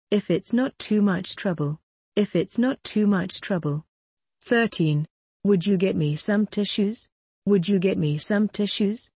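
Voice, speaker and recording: Female language teacher, lower register, husky tone, careful drill delivery. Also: a little mellow, no room sound. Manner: clear, careful delivery, each phrase repeated several times. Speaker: English phrase teacher.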